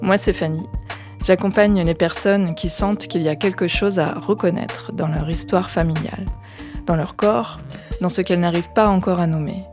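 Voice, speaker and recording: A woman hosts a podcast, first presenting the show and then asking her questions in a chatty way, often pausing on fillers. Her voice sounds roomy, and faint music plays beneath her. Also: fairly low pitch, fairly even intonation. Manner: conversational, with frequent fillers when asking questions. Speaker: podcast host. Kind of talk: podcast intro and interview.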